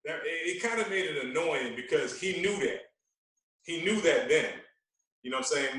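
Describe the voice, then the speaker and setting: A man talking casually as a podcast guest, telling stories in an animated way and repeating phrases for emphasis. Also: on the high side. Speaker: guest, veteran radio personality. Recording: echoey room, distant from mic.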